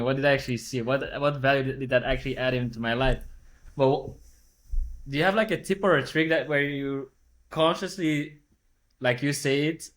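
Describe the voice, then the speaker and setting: A man's voice: mid-range voice, a bit bright. A man in his thirties, co-hosting a podcast, asking his question in a reflective, somewhat hesitant way. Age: 30s. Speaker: podcast co-host. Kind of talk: casual podcast chat.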